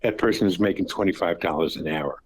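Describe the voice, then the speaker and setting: Older man on a call, low voice, halting recollections. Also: a little hiss or hum. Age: 70s. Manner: halting, repeats words and restarts while recalling. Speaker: father recounting family business. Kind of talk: phone call conversation. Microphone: phone call.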